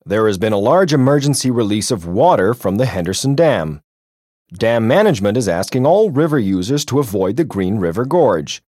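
Male actor, low-voiced formal newsreader, lively delivery, dry read. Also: no echo. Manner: formal, measured announcing. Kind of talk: news bulletin reading.

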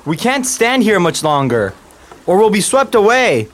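A woman's voice: medium pitch, sing-song, a little slow, a little hiss or hum. A woman voicing an earnest, nervous teenage boy pushed into leading. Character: anxious teenage boy, the reluctant new guide.

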